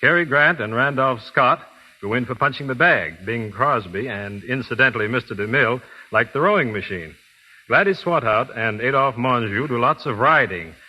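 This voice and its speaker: A man's voice: mid-range voice, animated, normal speed, slightly rough, highs cut off. A man speaking earnestly and politely as a trainer guest in a scripted 1930s radio interview. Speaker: guest physical trainer and product endorser. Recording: vintage 1930s radio broadcast.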